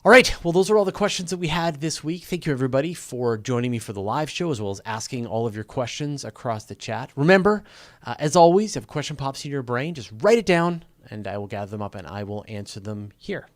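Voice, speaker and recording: A man's voice: mid-range voice, lively intonation, fast, no room sound, studio quality. A man hosting a casual live question-and-answer show, talking in an informal, joking, conversational way. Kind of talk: casual live Q&A chat with viewers.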